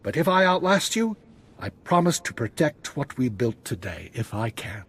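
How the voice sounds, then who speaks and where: An actor playing a wise, solemn Jedi master and mentor in a video game, speaking in a measured, earnest way. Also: male voice, mid-range voice, sing-song, husky. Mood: earnest, warm. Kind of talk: scripted video game dialogue. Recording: loud background ambience noise.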